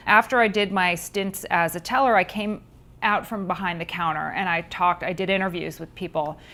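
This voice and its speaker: A middle-aged woman, a researcher and author, answering interview questions in a thoughtful, measured way with frequent ums. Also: fairly low pitch, fairly even intonation, pushed and bright.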